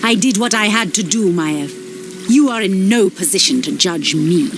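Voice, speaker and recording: An actress playing a proud, resolute night elf priestess commander in a video game, firm and dignified. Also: female voice, mid-range voice, lively intonation, normal speed, soft background music, close to an everyday voice. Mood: defiant. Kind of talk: dramatic video game cutscene acting.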